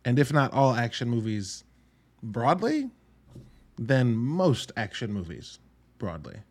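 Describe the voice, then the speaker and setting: A man's voice: medium pitch, very animated, takes it slowly, slightly rough. A man hosting a podcast solo, talking through a movie review in a wry, conversational way with emphatic asides. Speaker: podcast host.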